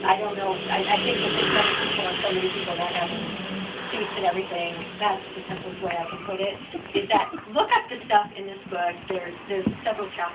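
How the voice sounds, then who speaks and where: A middle-aged woman giving an informal, rambling, candid book talk to an audience. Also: medium pitch. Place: bookstore event room with audience. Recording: muffled, noisy bookstore audience recording, distant mic.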